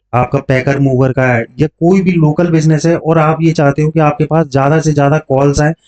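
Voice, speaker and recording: A man's voice: mid-range voice, a little mellow. A man teaching informally step by step, explaining as he goes and mixing in English terms with frequent check-in tags. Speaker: online tutorial host, digital marketing coach. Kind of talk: informal step-by-step screen tutorial. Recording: dull lo-fi microphone sound.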